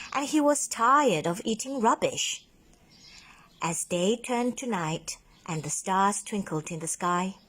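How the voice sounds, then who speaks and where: A woman reads a bedtime story like a drama teacher, with deliberate, dramatic emphasis. Her pace is slow and her intonation very animated, in a soft-edged, breathy voice. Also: mid-range voice. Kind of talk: expressive children's bedtime story narration.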